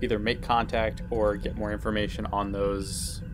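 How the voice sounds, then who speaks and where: A man's voice: on the low side, flat delivery, normal speed, background noise. A man playing a tabletop game, joking and easygoing in casual chat. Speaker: player.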